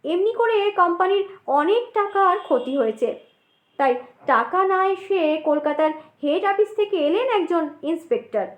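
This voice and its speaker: A woman narrates a scripted ghost story in a dramatic storytelling style. Her voice is very high and moves widely in pitch at an unhurried pace, with a roomy echo around it.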